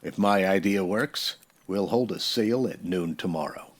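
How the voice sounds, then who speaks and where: An American actor playing a steady, dryly defiant Old West marshal in a radio western, with a folksy, laconic delivery. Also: male voice, low-pitched, moderate pace. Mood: calm, determined.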